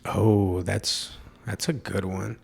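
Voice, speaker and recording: A young man in his twenties, co-hosting a podcast in a relaxed, rambling chat full of fillers and restarts. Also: deep voice, takes it slowly, gravelly, breathy edge. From Mexican heritage. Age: 20s. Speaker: podcast co-host. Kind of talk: casual chat.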